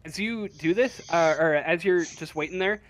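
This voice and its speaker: A man narrating a tabletop role-playing game as game master, in halting, hesitant fragments. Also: a little high, poor audio.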